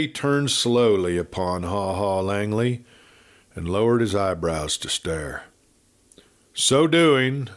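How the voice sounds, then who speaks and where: Measured male Western storyteller. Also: on the low side. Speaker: audiobook narrator. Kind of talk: dramatic storytelling narration of a Western.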